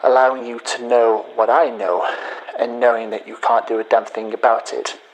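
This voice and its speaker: A man playing a bitter hacker in a sci-fi audio drama, speaking deliberately with pauses as he accuses a former friend. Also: mid-range voice, little pitch movement, natural voice, rough recording. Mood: cold, gloating. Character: bitter male hacker confessing revenge to his betrayed friend.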